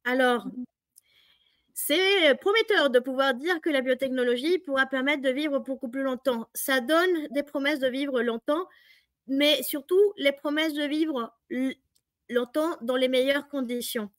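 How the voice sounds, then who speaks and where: A woman's voice: high-pitched, a little slow, no echo, studio quality. A woman from Mexico with a Mexican Spanish accent, explaining her work as an interview guest. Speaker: interviewed biotech industry professional.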